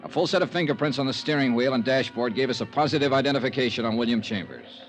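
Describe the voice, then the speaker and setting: A man's voice: mid-range voice, animated, quick pace, natural voice. A man playing a dry, world-weary insurance investigator, narrating in a clipped, matter-of-fact 1950s radio detective style. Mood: businesslike, dry. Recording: vintage radio recording, music behind.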